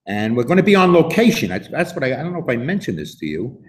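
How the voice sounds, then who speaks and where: A man reading a storybook aloud to children in an animated way, putting questions to his listeners in a gently instructive manner. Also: mid-range voice, fast talker. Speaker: storybook reader and host.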